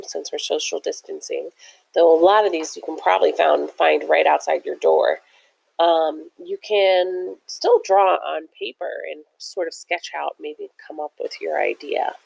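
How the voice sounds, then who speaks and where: A woman's voice: low voice, normal speed, vocal fry, a little mellow, slightly rough, rough recording. A woman reading a picture book aloud like a teacher, with chatty, encouraging asides that explain things simply to young listeners. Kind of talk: animated children's read-aloud with chatty asides.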